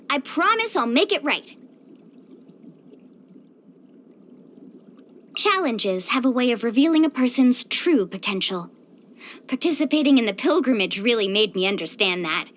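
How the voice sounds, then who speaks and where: A woman voicing an upbeat, caring, sporty young surfer in a video game, speaking in a peppy, expressive, friendly way. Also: a little high. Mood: earnest, friendly. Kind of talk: scripted video game voice acting.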